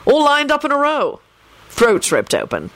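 A storybook reader voicing a stern, impassive male law-enforcement official with a clipped, formal, deadpan delivery. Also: medium pitch, brisk, no room sound. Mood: dryly pleased.

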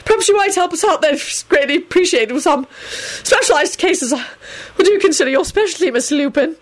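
A woman voicing a flustered, self-important male government minister who stammers and squeaks in an audiobook story. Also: lively intonation, a little fast. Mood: panicked.

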